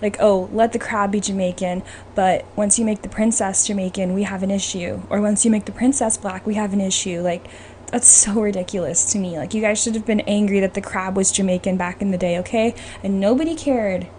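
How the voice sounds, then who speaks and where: A woman hosts a casual podcast about films, talking in an informal, opinionated style. Her voice sits at an ordinary middle pitch. There is faint room noise behind her. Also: steady tone, fairly quick, darkish tone. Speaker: podcast host. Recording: noisy room ambience behind the voice.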